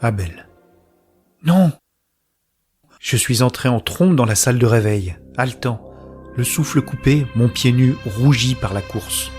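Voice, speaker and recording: Music sits under the voice of an actor as a brooding man with a medium-pitched voice. He tells his own story in an audio drama, in measured, literary sentences. His tone is introspective and anguished. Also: animated, a little mellow, natural voice. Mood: anguished, intense. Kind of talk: scripted first-person narration of sci-fi audio drama.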